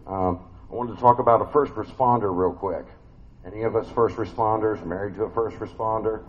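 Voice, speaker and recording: A man's voice: lowish voice, fairly even intonation, brisk, muffled recording. A man with a big voice preaching a family sermon in a church, conversational and folksy, with many fillers and restarts.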